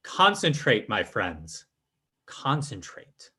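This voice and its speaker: A man playing a solemn, theatrical spirit medium in old-time radio style, speaking in deliberate incantations. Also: lowish voice, wildly swooping pitch, bright, forceful tone, slightly rough, heavily acted. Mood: solemn, mystical. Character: solemn, mystical male séance medium, theatrical.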